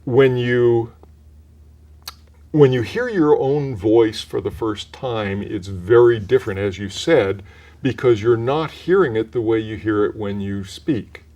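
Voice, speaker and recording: A mellow-voiced older man with a somewhat low pitch talks through his work in a community TV interview. He speaks in a measured, explanatory way and often restarts his sentences. He sounds relaxed and informative. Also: lo-fi sound. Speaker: voice actor guest.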